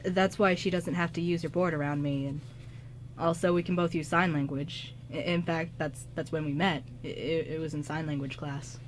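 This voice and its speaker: Woman as a nervous, halting young male musician. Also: low-pitched, steady tone, close to an everyday voice. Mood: earnest, hesitant. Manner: hesitant, stammering, halting. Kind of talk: amateur radio drama script reading. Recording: light background noise.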